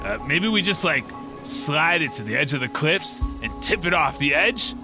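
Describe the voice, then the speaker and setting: A man's voice: fairly high pitch, big pitch swings, moderate pace. A man playing a laid-back, clueless slacker dude in a comedic audio drama, rambling and casual. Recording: music behind the voice.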